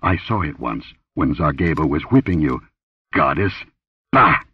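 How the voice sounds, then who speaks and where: A man voicing an angry, contemptuous barbarian warrior, barking and rumbling his words in a pulp adventure story. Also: sing-song, normal speed, breathy edge, no echo, far from the mic. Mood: contemptuous triumph. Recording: dull lo-fi audio.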